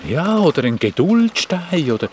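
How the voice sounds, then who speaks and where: A man's voice: a little high, big pitch swings, moderate pace, noisy background. A man with a Swiss German accent voicing a young adventurer who rambles and repeats words as he explains things. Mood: explaining. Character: Sämi, a curious young cart-riding adventurer.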